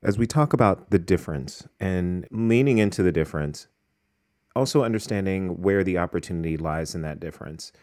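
A man's voice: deep voice, a little mellow. A man hosting a podcast interview, asking eager, casual questions with frequent restarts. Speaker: podcast host.

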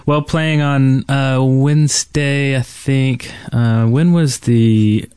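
A man's voice: medium pitch, fairly even intonation, takes it slowly, darkish tone, smooth, no echo, studio quality. A man co-hosting a casual gaming podcast, recalling things in a hesitant, rambling way. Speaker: podcast co-host.

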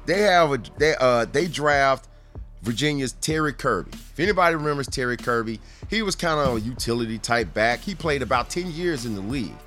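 A man's voice: mid-range voice, lively intonation, a little fast, a bit bright, faint music behind. A man hosting a podcast, telling sports stories in a casual, rambling, conversational way with amused asides. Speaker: podcast host.

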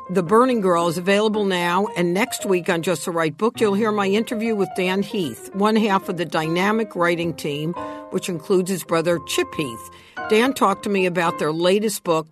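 A woman hosting a podcast, introducing segments and putting questions in a scripted presenter style. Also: fairly low pitch. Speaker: podcast host and interviewer.

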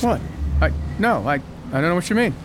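An actor playing an awkward, earnest, self-important conspiracy writer in an audio drama, hesitant, flustered and stammering. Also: male voice, high-pitched, expressive, a little fast, background noise.

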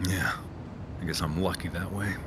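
A man's voice: steady tone, normal speed, close to an everyday voice. An actor playing a weary, hesitant man with a sleep disorder in a naturalistic audio drama. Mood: tired, low-key. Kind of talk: naturalistic audio drama acting, some inner narration. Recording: rumbling vehicle noise behind the voice.

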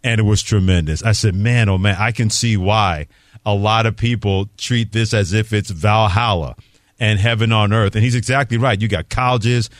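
A man's voice: lowish voice, fairly even intonation, moderate pace, no room sound. A man in his fifties or older from New York City, hosting live sports talk radio and chatting with a co-host and callers. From New York City, USA.

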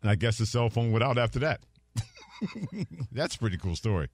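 A man's voice: mid-range voice, very animated, moderate pace, breathy edge, no echo. A man hosting a sports radio show, chatting casually with an easygoing, affirming manner.

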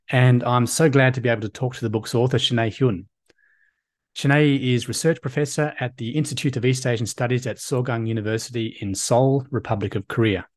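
A man hosting a podcast interview, reading a measured, formal introduction before questioning his guest. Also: mid-range voice, darkish tone. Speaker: podcast co-host and history lecturer.

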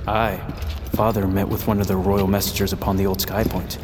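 An actor playing a curious, skeptical young highborn scholar, wry and inquisitive, in a fantasy audio drama. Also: male voice, level tone, fairly quick, background noise, close to an everyday voice. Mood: eager, dreamy. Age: young adult. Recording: long reverb, music behind.

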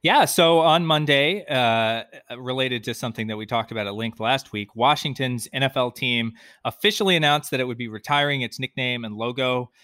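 A man's voice: mid-range voice, normal speed, few pauses, pushed and bright, no echo, studio quality. A man talking sports and data conversationally as a podcast panelist.

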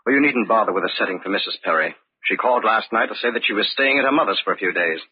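An actor playing a guilty, paranoid husband in an old-time radio drama, outwardly polite but unraveling. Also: male voice, on the low side, expressive, racing, lightly acted. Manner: agitated muttering to himself, swinging into cries. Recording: dull, noisy old radio recording.

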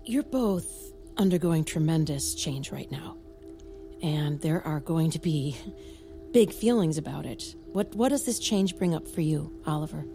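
A woman playing a measured couples therapist in an audio drama, asking probing questions in a naturalistic way. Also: sits low, animated, faint music behind.

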